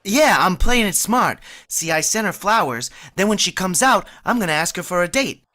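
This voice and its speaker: A woman's voice: fairly low pitch, lively intonation, moderate pace, bright, forceful tone. A woman voicing an awkward, lovestruck young man in a vintage-style radio play, earnest and rambling. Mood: flustered. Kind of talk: 1940s radio acting.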